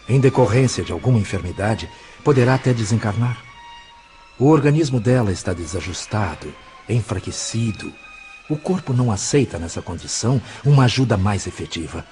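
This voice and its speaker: A man's voice: low voice, darkish tone. A man playing a compassionate, grave spirit mentor in an audio drama, speaking solemnly and formally. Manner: solemn, measured, formal diagnosis-like explaining.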